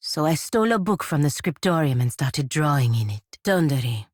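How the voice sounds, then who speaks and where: A low female voice gives a proud, honor-bound fantasy game warrior her weight. She speaks formally and sternly in clipped phrases, with an embittered edge. The sound is very dry, free of room echo. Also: sing-song, brisk. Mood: subdued, guarded. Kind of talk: fantasy video game character dialogue.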